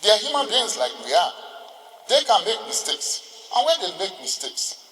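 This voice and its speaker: An older man with a Nigerian accent gives a formal ceremonial speech, exhorting his listeners deliberately with emphatic pauses. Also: sits high, sing-song, pushed and bright. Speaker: senior lawyer addressing a ceremony. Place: large indoor courtroom. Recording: reverberant hall with long echo.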